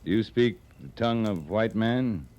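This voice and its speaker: A man as a low-voiced, terse cavalry captain. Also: lively intonation, normal speed, darkish tone, dull audio, natural voice. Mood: firm, calm. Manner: terse, dry, matter-of-fact. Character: calm, commanding cavalry captain on the frontier. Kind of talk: old-time radio western acting. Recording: hissy old radio drama recording.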